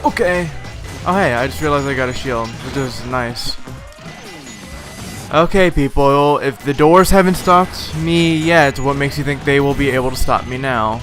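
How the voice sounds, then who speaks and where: Slightly hoarse man commenting on gameplay. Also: mid-range voice, unhurried, fairly bright tone. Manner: rambling, many "um" fillers. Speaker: let's play host. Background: game music.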